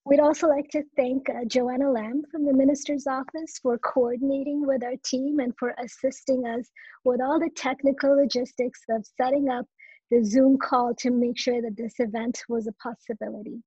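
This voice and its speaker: A woman's voice: high-pitched, steady tone, moderate pace, mellow, husky, no room sound. Earnest young woman giving closing thanks. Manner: earnest, grateful, with fillers and restarts. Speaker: chapter executive member giving thanks. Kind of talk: formal closing thank-you speech. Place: home room with brick wall. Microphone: wireless earbuds on a video call. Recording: dull lo-fi video call audio.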